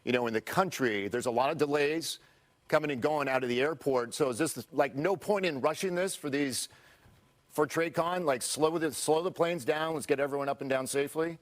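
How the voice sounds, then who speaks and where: A man's voice: fairly high pitch, normal speed. A man with an American accent working as a television news anchor, reading news and interviewing live.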